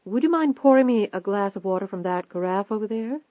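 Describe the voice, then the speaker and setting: A man's voice: sits high, animated, fairly quick, no room sound, voice pushed up. A man voicing a prim, nervous, proud rich woman in an audiobook, formal and defensive, with an anxious edge. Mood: nervous, shaken. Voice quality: trembling, anxious. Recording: thin phone-like band-limited audio.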